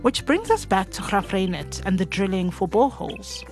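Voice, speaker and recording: A woman narrates as a presenter, reading scripted links in a mid-pitched voice. Music plays clearly behind her. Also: big pitch swings, moderate pace.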